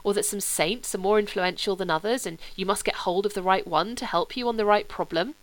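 A woman's voice: medium pitch, a little fast, natural voice, rough recording. A woman doing a skeptical young Englishman.

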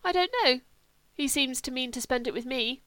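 A woman's voice: high-pitched, normal speed, a bit bright, no room sound, voice pushed up. A woman voicing a frail, languid young upper-class Englishman in an audiobook, with a wistful manner. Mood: languid, resigned. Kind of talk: audiobook character reading.

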